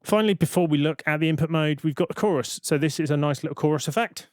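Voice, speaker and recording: A man giving an informal, step-by-step software demonstration as a tutorial presenter. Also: a little high, fast talker, no echo.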